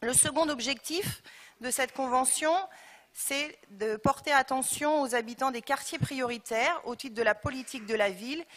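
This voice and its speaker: Echoey woman presenting formally, fairly high voice. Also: fairly bright tone. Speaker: local elected official. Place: large council chamber. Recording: echoey council hall with long reverb.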